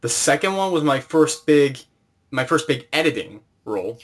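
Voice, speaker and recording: A man's voice: a little high, expressive, normal speed. A man hosting an interview in a casual, conversational way, trading recollections as he talks. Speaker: interviewer, host.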